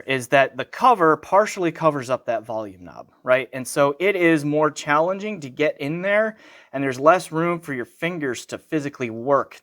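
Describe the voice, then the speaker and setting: A man's voice: mid-range voice, a bit bright. An American man giving a casual hands-on product review, rambling a little and correcting himself as he goes. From United States.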